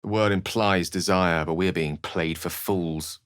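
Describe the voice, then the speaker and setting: An actor playing an erudite, urbane wizard with a dry wit and a theatrical, articulate way of speaking in a video game. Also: male voice, low-pitched, fairly even intonation, measured pace, fairly bright tone, clean tone. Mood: wry, conversational. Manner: theatrical, articulate, formal wording. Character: eloquent, erudite male wizard, urbane and dryly witty. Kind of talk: video game character dialogue.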